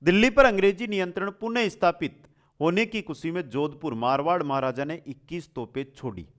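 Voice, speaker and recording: A man's voice: on the high side, fairly quick. A man teaching an exam-prep quiz lesson, reading out questions and explaining answers in a familiar, affectionate way with repeated key points.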